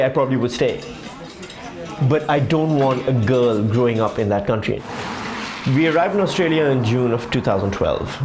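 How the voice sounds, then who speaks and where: A man's voice: mid-range voice, background noise. A man, a stand-up comedian telling his story in a conversational, wry way with dry punchlines. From Pakistan. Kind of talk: documentary interview and stand-up set.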